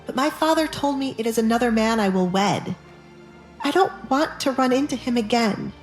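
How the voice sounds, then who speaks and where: A young noblewoman as a woman acts her out speaks in a raised, earnest voice. She is anxious yet determined and pleading, in an audio romance drama over soft music. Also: on the high side, animated, normal speed, reverberant, pitched above the performer's own voice, lightly acted.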